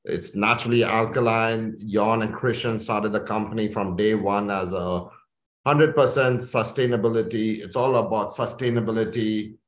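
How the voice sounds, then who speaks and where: Male executive from India, lowish voice, enthusiastic interview guest, roomy echoing sound. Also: fairly even intonation, moderate pace. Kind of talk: conversational business interview. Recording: echoey room.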